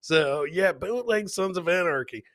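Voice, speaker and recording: A man's voice: high-pitched, animated, measured pace, fairly bright tone, dry recording, studio quality. A middle-aged man doing casual, jokey live commentary on video clips as a comedian host, often repeating his catchphrases. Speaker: comedian hosting a livestream clip show.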